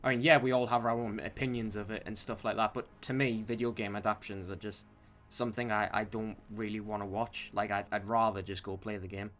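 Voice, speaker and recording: A man's voice: lowish voice, little pitch movement, a little fast, fairly bright tone, faint music behind. A man co-hosting a podcast, giving emphatic opinions in casual chat and repeating himself to make a point. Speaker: podcast co-host. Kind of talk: casual film and game chat.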